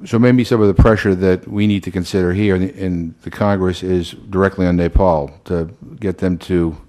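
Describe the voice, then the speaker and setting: A man's voice: low-pitched, fairly even intonation, normal speed, warm tone. A man chairing a hearing and questioning a witness, often restarting his sentences as he goes. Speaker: committee chairman.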